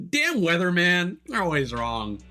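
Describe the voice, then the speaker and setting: A man's voice: high voice, huge pitch swings, takes it slowly, sharp tone, soft background music. A man streaming a video game and chatting casually, rambling with many fillers and wry asides. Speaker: VTuber streamer. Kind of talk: casual let's-play commentary.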